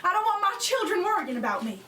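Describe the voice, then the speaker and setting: Radio play: woman as protective, subdued widowed mother in poor health, high register, heard at a distance from the mic. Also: sing-song, fast talker, bright, forceful tone, a little hiss or hum, heavily acted. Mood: resigned, worried. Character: ailing, resigned, protective widowed mother, 1940s America. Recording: roomy, distant mic, faint music.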